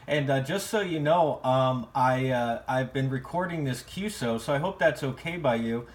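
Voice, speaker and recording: American man in halting radio chat. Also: medium pitch. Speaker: amateur radio operator. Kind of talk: casual ham radio conversation.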